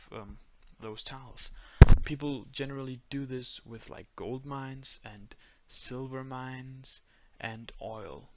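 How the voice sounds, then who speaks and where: Monotone Danish-accented young man. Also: mid-range voice, highs cut off. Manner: monotone, many fillers. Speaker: tutorial creator. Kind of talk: screen-recorded tutorial.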